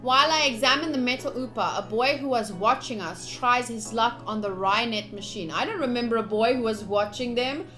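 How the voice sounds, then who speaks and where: A young woman streaming a visual novel, reading the text aloud with casual, gushy, expressive commentary. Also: a little high, fairly quick, few pauses. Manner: expressive, gushy, slips into cute exclamations. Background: soft game music.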